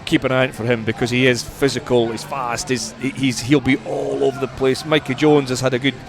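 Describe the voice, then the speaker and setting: Guy chatting as a rugby pundit on radio, kinda measured, repeats himself for emphasis, music going behind. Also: mid-range voice, level tone, creaky. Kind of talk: conversational sports punditry.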